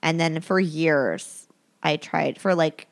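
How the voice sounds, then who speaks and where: A woman's voice: sits low, takes it slowly, gravelly. A woman talking casually as a podcast guest, rambling with many fillers and restarts, and joking at her own expense. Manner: rambling, self-deprecating, lots of fillers and restarts. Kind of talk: casual chat.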